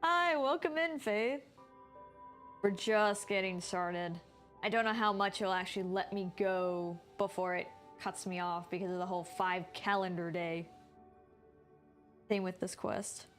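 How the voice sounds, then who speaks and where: A woman's voice: medium pitch, a little slow, a bit bright. A woman streamer giving casual, chatty, informal commentary as she plays a video game. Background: game music.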